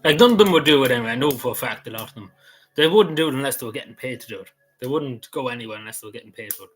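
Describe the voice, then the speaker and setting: An Irish man rattles along at a quick clip, answering his live chat with a loose, rambling, easygoing delivery. His speech is full of "you know" and restarts, his pitch sits mid-range, and faint music plays quietly behind him. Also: expressive. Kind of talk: casual livestream chat.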